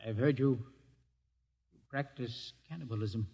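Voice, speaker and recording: An actor playing a proud, naive young scientist in a 1950s radio drama, stiff, earnest and challenging. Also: male voice, mid-range voice, unhurried, mellow, husky. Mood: indignant, alarmed.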